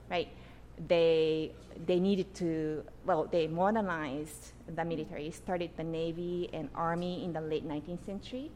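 A middle-aged woman from Japan, an author and guest, answering interview questions in an animated, explanatory way with frequent "you know" and "right?". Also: lowish voice, a little hiss or hum.

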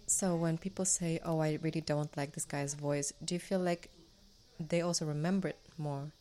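A woman interviewing a guest, asking calm, plain questions. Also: low voice, normal speed.

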